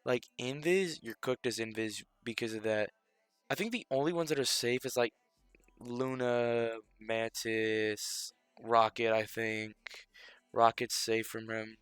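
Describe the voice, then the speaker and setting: A man's voice: medium pitch, unhurried, a bit bright, clear, resonant tone, no echo, soft background music. A young man rambling through casual stream commentary, loose and filler-heavy, sounding a bit stuffy from a cold.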